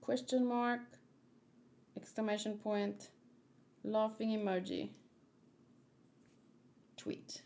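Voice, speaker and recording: A woman hosting a livestream read-along, chatting casually in an excitable, playful, expressive way. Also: medium pitch, slow, long pauses. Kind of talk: casual livestream chat mixed with animated read-aloud.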